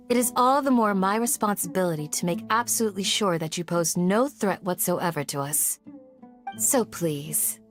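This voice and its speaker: A gracious young female guardian voiced by an actress in a video game. Her speech is formal and measured, courteous yet firm, at a middle pitch over faint background music. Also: lightly acted.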